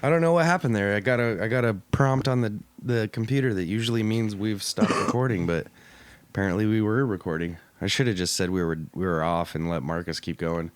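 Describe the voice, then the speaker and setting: Male podcast host, low register, crude joking chat, close dry sound. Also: brisk, clean tone, no room sound.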